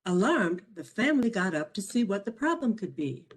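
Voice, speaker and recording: A woman reading her own children's picture book aloud as a storyteller, in an expressive, measured way. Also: mid-range voice, breathy edge. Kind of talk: scripted storybook narration for children.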